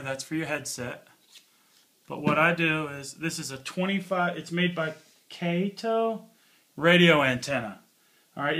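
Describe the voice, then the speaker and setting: A man with a slightly high, bright voice walks through a product like a hobbyist reviewer. His delivery is informal and plainspoken, with a folksy, hands-on feel. The tone has a forceful edge. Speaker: product reviewer, channel host. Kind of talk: casual hands-on gear review.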